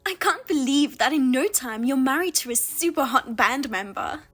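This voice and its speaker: A woman voicing a spiteful younger sister who steals husbands, with a high, sing-song, mocking manner. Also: animated, fairly bright tone, faint music behind. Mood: taunting. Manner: mocking, self-satisfied, drawn-out teasing. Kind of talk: narrated drama voice-over.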